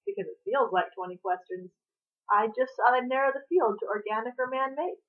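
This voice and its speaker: A woman reading the part of a playful, pushy man who teases and persists in an animated, insistent way. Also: on the high side, brisk, phone-quality sound, close to an everyday voice. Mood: teasing. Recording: thin, band-limited home recording.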